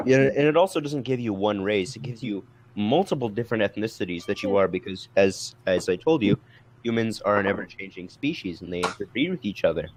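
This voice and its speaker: Lowish guy debating in a group, methodical but halting, challenging, bit of noise. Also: expressive, low-quality recording.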